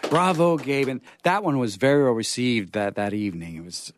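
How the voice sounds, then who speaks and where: A man's voice: medium pitch, brisk. A man hosting a live event in a theatrical, courtly manner. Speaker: host. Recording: clear background noise with clicks.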